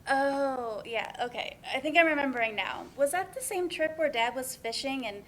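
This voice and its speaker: A woman's voice: sits high, expressive, moderate pace, fairly bright tone, a little hiss or hum. A woman playing a wry, sarcastic young woman with a dry, natural delivery in a play reading. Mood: fond, amused.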